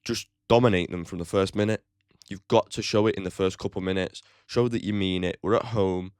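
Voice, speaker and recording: A man's voice: low-pitched, fairly even intonation, a little fast, a little mellow, smooth, dry recording, studio quality. A man hosting his own football fan channel, giving a blunt, opinionated match preview with casual swearing. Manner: chatty, with frequent "like" fillers.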